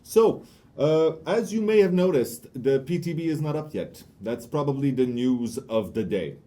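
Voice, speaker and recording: A man's voice: medium pitch, animated, moderate pace, dark tone. A man hosting a casual game-studio livestream, hesitant with many fillers and restarts. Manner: hesitant, lots of uhs and restarts. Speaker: community stream host. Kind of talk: casual livestream news chat.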